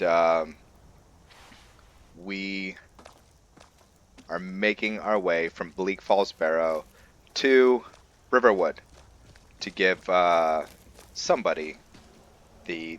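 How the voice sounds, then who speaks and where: A man hosting a gaming channel, giving laid-back, halting roleplay commentary as he thinks aloud. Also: sits low, a bit bright, muffled recording. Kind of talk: casual roleplay game commentary.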